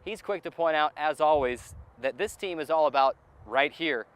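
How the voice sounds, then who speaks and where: A man's voice: a little high, moderate pace, smooth. A man hosting a sports show, presenting team updates in a scripted broadcast style outdoors at a stadium.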